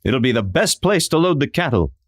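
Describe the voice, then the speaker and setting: A man's voice: medium pitch, big pitch swings, fairly quick, background noise. A man playing an earnest, commanding American masked hero in a radio western. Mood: observant, resolute. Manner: earnest, authoritative.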